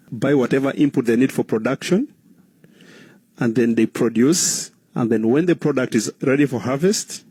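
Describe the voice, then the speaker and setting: A man from Uganda with a Ugandan accent, answering interview questions in a measured, earnest way, explaining things in short phrases. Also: medium pitch, mellow, husky, dry recording. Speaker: guest, cooperative trainer.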